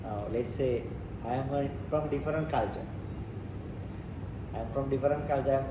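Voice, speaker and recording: A man's voice: medium pitch, moderate pace. A man from Sri Lanka with a Sri Lankan accent, speaking non-native English as he explains ideas step by step, often repeating phrases. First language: not English. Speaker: interviewee explaining leadership and culture. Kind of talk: informal explanatory teaching talk. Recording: roomy, distant mic, noisy background.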